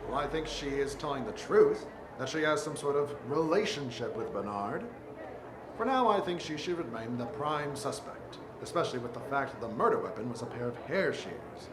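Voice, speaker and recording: A man playing a polite, halting police detective in a 1940s radio drama. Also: medium pitch, lively intonation, lightly acted. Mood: halting, inquisitive. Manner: halting, questioning. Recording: echoey stage with clear background noise.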